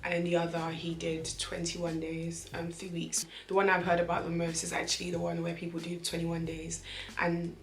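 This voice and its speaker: A young woman with a lowish voice talks to her viewers from home, her voice a little croaky. She is animated and chatty, laughs often and repeats phrases for emphasis. The room gives an echo. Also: moderate pace, slight background noise. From Nigeria. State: slightly croaky voice. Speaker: vlogger. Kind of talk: casual chatty vlog talk. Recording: echoey room.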